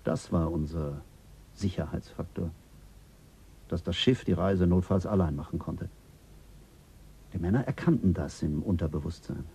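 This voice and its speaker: An actor playing a calm, principled male psychologist in a German radio drama, speaking in a measured, earnest way. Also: deep voice, gravelly, slight background noise.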